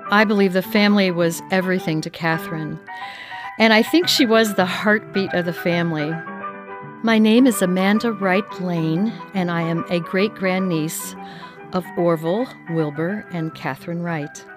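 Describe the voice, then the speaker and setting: A woman talks as an interview guest in a slightly low voice. She speaks in a reminiscing style, with some music underneath. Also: moderate pace. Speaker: family descendant, interview guest.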